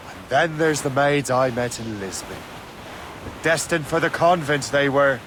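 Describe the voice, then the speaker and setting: A man's voice: medium pitch, measured pace, lightly acted. Breezy young Irish rogue, sea behind male actor. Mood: teasing, curious. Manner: breezy, teasing banter. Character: cheeky, roguish young Irish sea captain. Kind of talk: video game cutscene acting. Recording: clear sea and ship ambience behind. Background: waves, wind and ship sounds.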